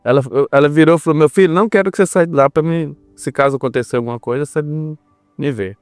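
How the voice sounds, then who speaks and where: A man's voice: on the high side, fast talker, a little mellow, clear, resonant tone, dry recording, soft background music. Earnest Brazilian man, dry close sound. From Brazil, small town. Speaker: podcast guest. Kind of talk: personal storytelling in a podcast interview.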